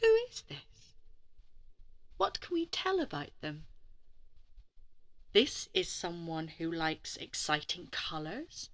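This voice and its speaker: A woman storyteller narrating a children's bedtime story in a dramatic, deliberate way, with pauses and breathy emphasis. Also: sits low, sing-song, fairly bright tone. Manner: addresses young listeners with questions. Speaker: storyteller for a gallery's bedtime stories.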